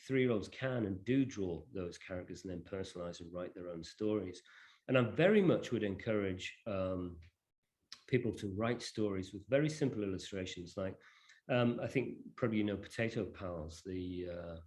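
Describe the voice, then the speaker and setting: A man's voice: low-pitched, moderate pace, a little mellow. A man giving an informal online presentation and answering questions, explaining in a hesitant, conversational way. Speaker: presenter of an education product.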